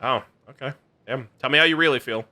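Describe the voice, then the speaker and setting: A man doing casual livestream reaction commentary on game voice lines, expressive, joking, slangy and profane. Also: medium pitch, brisk.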